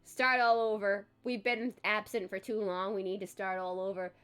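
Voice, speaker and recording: A woman hosting a live stream in casual chat, congested and recovering her voice, chatty and quick to laugh. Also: medium pitch, level tone, a bit bright, clean tone, faint music behind. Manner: chatty, laughs often, self-deprecating. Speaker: streamer host.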